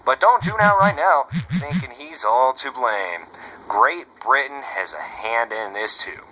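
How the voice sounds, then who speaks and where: Man playing a brash 1930s radio host, forceful and bright. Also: mid-range voice, expressive, measured pace, poor audio. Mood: lecturing, chummy. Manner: showy, chummy, slangy, asks rhetorical questions. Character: brash, slangy 1930s swing-era radio show host. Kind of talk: 1930s radio broadcast patter.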